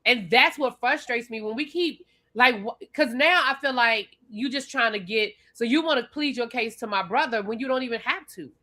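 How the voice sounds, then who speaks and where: A woman's voice: a little high, moderate pace, few pauses, bright, forceful tone. A woman from Washington, D.C. co-hosting a casual TV recap chat, blunt and emphatic, pressing her points. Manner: emphatic, blunt, repeats points with rising exasperation. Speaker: podcast co-host.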